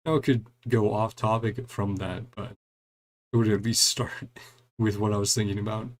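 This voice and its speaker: A man's voice: fairly low pitch, flat delivery, normal speed. A man giving casual gameplay commentary, reading game dialogue aloud and adding wry, chuckling reactions in a laid-back way. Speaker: gaming streamer doing a playthrough.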